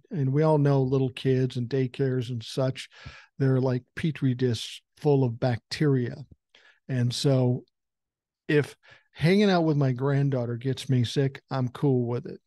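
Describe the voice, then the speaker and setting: A man's voice: mid-range voice, mellow, no echo, clean studio sound. A man in his sixties hosting a podcast from a room at home, talking casually and bluntly and swearing now and then, with a common cold. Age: 60s. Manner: blunt, profane and conversational. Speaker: podcast host. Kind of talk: casual political commentary monologue. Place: home room with a couch behind him. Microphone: large foam-covered dynamic mic close to the mouth.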